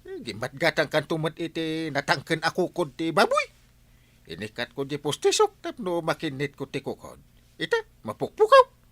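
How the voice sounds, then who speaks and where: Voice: medium pitch, huge pitch swings, moderate pace, fairly bright tone, no room sound. An actor with an Ilocano accent playing a whiny, stammering, forgetful elderly village captain in a comic audio drama. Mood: whiny, flustered. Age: older. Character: flustered, forgetful elderly village captain hunting lost dentures.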